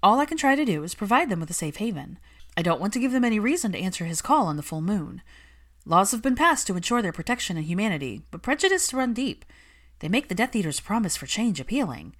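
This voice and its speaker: A woman voicing a concerned, caring female healer in a story reading, earnest and gently pressing. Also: medium pitch, sing-song, fast talker, voice pushed up.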